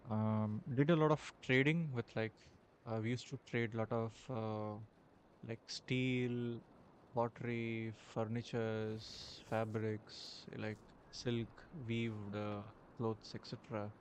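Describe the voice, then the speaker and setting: A man with an Indian accent chatting in character during a roleplay game, halting and full of fillers. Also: on the low side, level tone, slight background noise. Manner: hesitant, halting, many fillers. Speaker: roleplay streamer.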